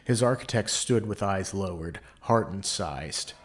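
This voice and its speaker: A man's voice: sits low, animated, a little slow. A man giving dramatic, brooding first-person scripted narration as the narrator of an audio play.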